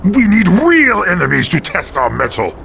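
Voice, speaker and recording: An actor playing a gruff, eager orc warrior in a fantasy game, speaking in an urgent, soldierly way. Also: male voice, high-pitched, huge pitch swings, normal speed, bright, forceful tone, slight background noise, full character voice. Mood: restless.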